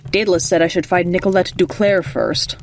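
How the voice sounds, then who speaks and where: An actor playing a cool, terse secret agent in a video game, with a clipped deadpan delivery. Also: male voice, high voice, a little fast, slight background noise. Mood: businesslike.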